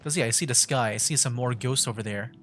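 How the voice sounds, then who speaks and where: A man with a medium-pitched voice gives casual commentary on a game he is streaming. He rambles and thinks aloud with hesitant phrasing, over faint game music. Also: fast talker, fairly bright tone. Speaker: game livestreamer.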